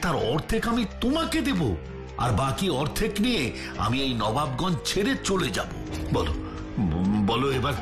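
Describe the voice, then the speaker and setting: An insistent cartoon schemer, with a fairly high, very animated voice. He pleads emphatically and repeats himself, with music behind. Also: normal speed. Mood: urgent, conspiratorial. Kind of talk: children's cartoon acting.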